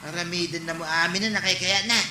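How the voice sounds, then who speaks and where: A man's voice: sits high, fairly even intonation, fast, background noise, natural voice. An actor playing an indignant male neighbor filing a complaint in a radio comedy drama. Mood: complaining. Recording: echoey room with music behind.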